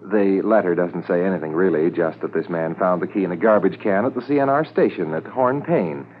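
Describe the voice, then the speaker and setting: An actor playing an obliging, talkative hotel manager in a 1950s radio drama, courteous and chatty with frequent hesitations. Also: male voice, low-pitched, fairly quick, lightly acted. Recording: tinny old radio with faint noise.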